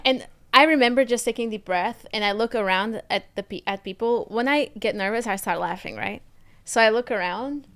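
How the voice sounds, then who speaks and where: Woman, Portuguese accent, young adult, comedian guest, smooth clear voice, animated storytelling. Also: on the high side, brisk. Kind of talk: casual podcast chat.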